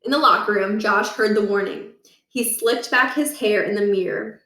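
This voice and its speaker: A woman narrating a children's book aloud, with expressive storytelling and deliberate emphasis. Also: mid-range voice. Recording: roomy echo, distant from the mic.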